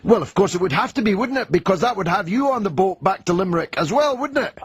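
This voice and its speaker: A man's voice: high-pitched, expressive, fast talker, breathy edge, no echo. A man from Britain hosting a radio phone-in, combative and questioning his caller. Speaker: radio talk show host. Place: radio studio. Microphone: studio mic.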